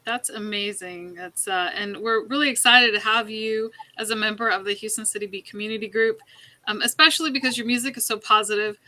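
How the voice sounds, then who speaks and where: A woman's voice: medium pitch, fairly even intonation, a little fast, pushed and bright. A woman interviewing a guest in a conversational way as a talk show host.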